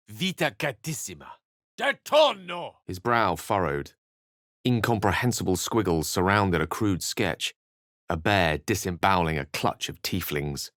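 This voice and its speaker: Low-voiced, eloquent wizard. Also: male voice, a bit bright, dry recording, studio quality, exaggerated voice. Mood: urgent, incantatory, then hushed, ominous. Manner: articulate, erudite, theatrical. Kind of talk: video game character acting and narration.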